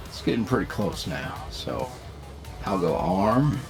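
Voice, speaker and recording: A man's voice: on the low side, big pitch swings, unhurried, creaky, over loud music, heavily acted. A man playing a cocky, seasoned demonic hunter who teaches an apprentice in a folksy drawl, in an audio roleplay. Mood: instructive.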